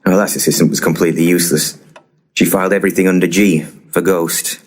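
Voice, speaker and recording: A deep-voiced male ghost hunter played by an actor in a comic audio play. He is grumpy and boozy, speaking in a curt deadpan that carries a pompous, self-important streak. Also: fast talker, slight background noise, close to an everyday voice. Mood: dismissive. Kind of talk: full-cast comic audio drama.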